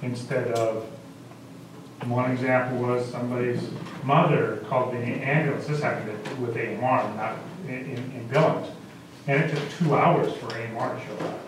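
A man's voice: mid-range voice, steady tone, normal speed, background noise. A man explaining matters plainly as a council member at a public meeting. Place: small council chamber, indoors. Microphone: distant camera microphone. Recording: very echoey room from far off.

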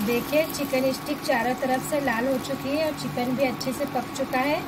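A woman's voice: sits high, fairly even intonation, normal speed. A woman with an Indian accent giving a step-by-step recipe voice-over as a home cooking host. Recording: clearly noisy home recording.

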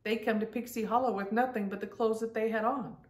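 A woman's voice: fairly high pitch, fairly even intonation, brisk. A middle-aged woman hosting a children's storytime, reading aloud in a storytelling style at home. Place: indoor room at home. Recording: roomy, distant-mic home recording.

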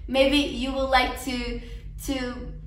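A woman's voice: high voice, level tone, takes it slowly, slight background noise. A young woman, giving an earnest, encouraging devotional talk to a women's conference. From Colombia. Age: young adult. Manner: earnest and encouraging, some restarts. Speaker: conference speaker. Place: living room, seated on a sofa. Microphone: camera across the room. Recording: roomy, from a distance.

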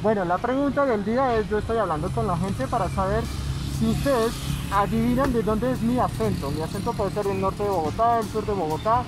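A man's voice: high-pitched, fairly quick, low-quality recording. A young man with a French accent, a native French speaker, casually interviewing passers-by outdoors and patiently repeating his questions. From France. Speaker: street interviewer. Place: city plaza outdoors. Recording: loud background music.

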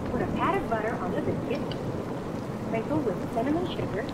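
A woman's voice: fairly high pitch, animated, fairly quick, natural voice. Woman acting a homemaker in a noisy vintage ad. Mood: earnest, selling. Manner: instructive, salesy. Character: cheerful homemaker mother presenting her breakfast recipe. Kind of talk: vintage television commercial testimonial. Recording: old noisy ad under storm ambience, distant.

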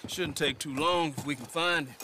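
A man's voice: fairly high pitch, moderate pace, background noise, lightly acted. An actor playing a humble, obliging swamp boatman with a Southern US accent, plain and deferential, in a game cutscene. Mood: obliging, calm. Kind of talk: dramatic video game cutscene acting.

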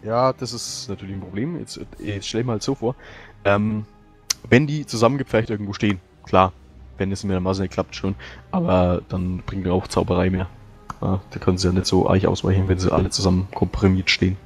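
Low-voiced dude talking battle tactics at a game table, faint music behind. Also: male voice, fairly even intonation. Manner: quick agreeing interjections, restarts. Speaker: tabletop player. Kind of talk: casual strategy discussion.

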